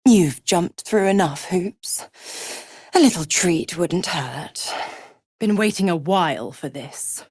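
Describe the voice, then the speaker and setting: An actress playing a seductive, mocking, imperious female devil in a video game, with a sultry, taunting and theatrically drawn-out delivery. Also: lowish voice, expressive, a little mellow, slightly rough, no echo, studio quality, full character voice. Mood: teasing, sultry.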